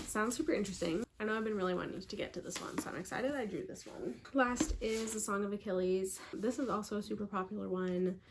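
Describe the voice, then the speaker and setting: A woman's voice: mid-range voice, darkish tone, a little hiss or hum. A woman book vlogger talking casually and reading book blurbs aloud, a little congested in parts. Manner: chatty, upbeat, filler-heavy.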